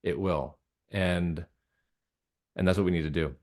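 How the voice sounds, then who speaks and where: Male interview guest, lower-pitched, sincere and halting, leaning on repetition for emphasis. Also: steady tone, a little mellow. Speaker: interview guest, radio drama creator. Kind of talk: earnest conversational interview about faith.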